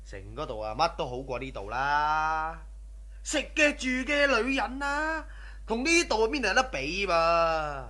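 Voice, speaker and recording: Cocky, high-pitched ruthless robber. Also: male voice, big pitch swings, measured pace, sharp tone, heavily acted. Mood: scornful, boastful. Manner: boastful, sneering, swaggering. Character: cocky, greedy, ruthless male robber scoffing at superstition. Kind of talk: Cantonese radio drama acting.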